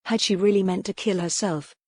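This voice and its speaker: A woman's voice: mid-range voice, fairly even intonation, fairly quick, a little mellow, husky, no room sound. A woman reading a historical romance novel aloud as a dramatic audiobook narrator.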